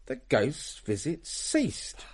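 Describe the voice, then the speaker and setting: A man playing a prim, excitable Victorian English gentleman in a radio drama, telling tales in formal, theatrical phrases. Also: medium pitch, slow, slightly rough, full character voice. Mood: relishing the tale. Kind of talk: period radio drama storytelling.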